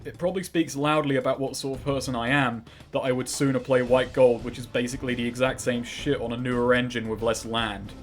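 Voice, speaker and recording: A man's voice: medium pitch, steady tone, brisk, hardly any pauses, soft background music. A man giving scripted, sardonic and profane game commentary. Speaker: game reviewer.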